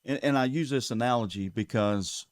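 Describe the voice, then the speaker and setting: A man's voice: medium pitch, normal speed, dry recording, clean studio sound. A man with a Southern US accent, running for sheriff, speaking earnestly in a casual interview and repeating words as he builds his points. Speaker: sheriff candidate. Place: local TV studio.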